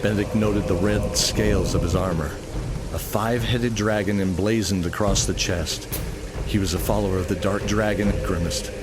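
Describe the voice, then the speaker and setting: A man's voice: lowish voice, fairly even intonation, normal speed, very noisy. A man narrating a scripted fantasy radio play in an earnest, dramatic storytelling style.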